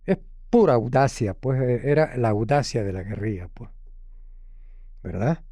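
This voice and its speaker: A man's voice: medium pitch, expressive, unhurried, warm tone. A man speaking casually as an interviewee.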